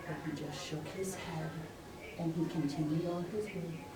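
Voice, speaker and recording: A woman's voice: deep voice, fairly even intonation, moderate pace, a loud crowd. A woman telling a story to young children, expressively and in simple phrases. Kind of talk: live children's story narration. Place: room with a pre-school class. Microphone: distant recording mic. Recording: echoey room, distant mic, faint noise.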